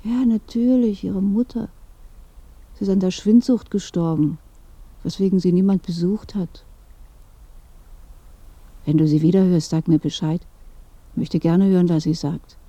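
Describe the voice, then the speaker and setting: A woman's voice: lowish voice, unhurried, long pauses, dry recording. An actress playing a dead old woman in a radio play, chatty, knowing and inquisitive. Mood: gossipy. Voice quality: dark, creaky. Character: dead old woman, curious gossip in a grave. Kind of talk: radio drama dialogue.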